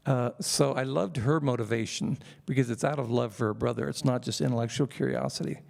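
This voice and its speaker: A man interviewing an author onstage, speaking in a measured, admiring way. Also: medium pitch, fairly even intonation, creaky, darkish tone. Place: live event hall with audience.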